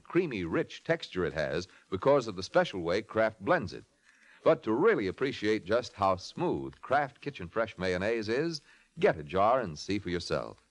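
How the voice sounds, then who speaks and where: Casting: male vintage radio announcer and narrator, slightly deep, polished, with swooping salesman's intonation. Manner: polished, emphatic salesmanlike delivery. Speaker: announcer, narrator and sponsor pitchman. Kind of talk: 1940s radio announcing, scripted.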